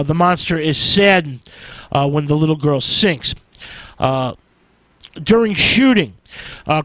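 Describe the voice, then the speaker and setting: A man with a somewhat high voice presents film history in an informal lecture style. He moves forward haltingly, putting an "uh" before most phrases. The sound is dry, with little room in it. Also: no echo, low-quality recording. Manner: halting, hesitant delivery with an "uh" before nearly every phrase.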